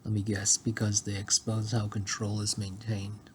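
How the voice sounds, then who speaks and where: A man reading scripted dramatic dialogue in a deliberate delivery, phrase by phrase. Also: on the low side, monotone, slight background noise. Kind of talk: scripted dialogue read-through.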